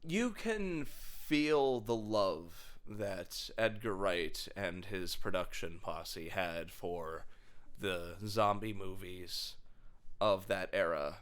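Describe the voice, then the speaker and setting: A man on a podcast panel giving casual, emphatic film opinions in halting, informal phrasing. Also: deep voice, animated, a bit bright. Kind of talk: casual film review chat.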